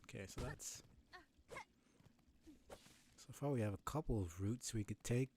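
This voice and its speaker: A man's voice: medium pitch, unhurried, warm tone, slight background noise. A man giving casual game commentary in a musing way, thinking aloud as he goes. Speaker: game streamer.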